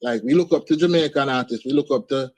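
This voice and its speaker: A man's voice: medium pitch, quick pace, no room sound. A man with an Antiguan accent, talking casually as a guest artist in an interview, often ending lines with "man" and "you understand". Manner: laid-back, sprinkles speech with "man" and "you understand". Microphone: video call.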